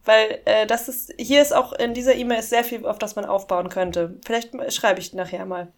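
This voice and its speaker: A woman's voice: fairly high pitch, fairly even intonation. A German-speaking woman in casual podcast chat, amused and often laughing as she reads scam emails aloud. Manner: amused, giggly, reads English slowly and mockingly. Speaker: podcast co-host.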